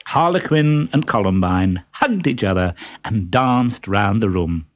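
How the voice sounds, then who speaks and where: A man's voice: fairly low pitch, expressive, measured pace, over music. A man narrating a children's story expressively, as a storyteller reading from a script.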